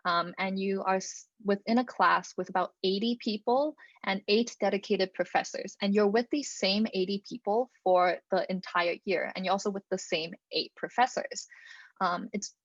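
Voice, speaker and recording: A young woman speaks on a video call, relaxed and chatty, filling her pauses with "um" and "like". Her voice sits at an ordinary pitch. The audio is dry and a little dull. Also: no echo. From Canada (Alberta). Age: young adult. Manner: casual, many fillers and "like". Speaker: student presenter. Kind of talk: online presentation talk. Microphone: computer mic on a video call.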